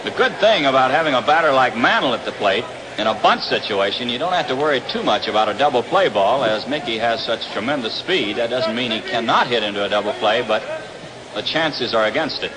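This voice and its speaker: A man's voice: medium pitch, lively intonation, brisk. A man with an American accent calls live baseball play-by-play on a noisy 1960s radio broadcast from an outdoor ballpark. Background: loud ambient ballpark noise.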